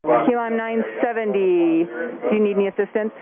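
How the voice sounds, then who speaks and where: A woman's voice: medium pitch, normal speed. A woman working as a tower controller, speaking clipped phraseology over air traffic radio. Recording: tinny radio with chatter behind.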